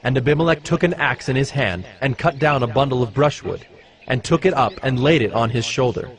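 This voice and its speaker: A man's voice: medium pitch, a little fast. A man narrating dramatized scripture as a scripted storyteller. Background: music.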